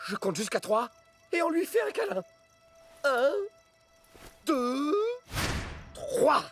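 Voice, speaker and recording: A scheming cartoon fox, boastful and sure of himself. He lays out plans in a lively voice that swings widely in pitch, with music clearly behind. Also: takes it slowly, full character voice. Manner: self-assured, boastful, explaining plans. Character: cocky, scheming male fox, leader of an animal gang. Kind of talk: cartoon voice acting.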